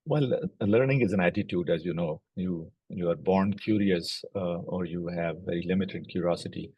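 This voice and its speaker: A middle-aged man, a native Urdu speaker, explaining medical cases in a measured, step-by-step way during a recorded interview. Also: sits low, husky, dry recording, dull audio. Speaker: oncologist and platform co-founder.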